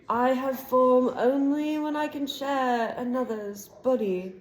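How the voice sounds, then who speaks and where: A woman reading aloud voices a cold, menacing male dark wizard, drawing out his words slowly. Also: fairly high pitch, rough recording.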